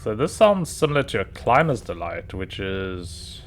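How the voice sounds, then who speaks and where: A hoarse man streaming a video game, rambling to himself in casual commentary as he plays. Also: lowish voice, sing-song, unhurried, a little hiss or hum. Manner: rambling, talking to himself while playing.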